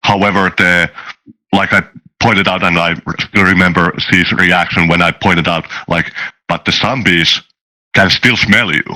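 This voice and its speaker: A man explaining game design in a casual panel discussion, speaking in a halting way with frequent restarts. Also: low voice, steady tone, pushed and bright, rough recording. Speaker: tabletop game designer and game master.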